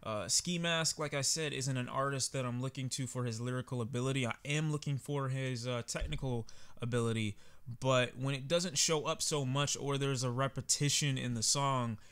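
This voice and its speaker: A man's voice: mid-range voice, normal speed, a bit bright, clean tone. A man reviewing an album in a casual, candid way, talking conversationally with frequent filler words. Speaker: music reviewer. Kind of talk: casual album reaction commentary.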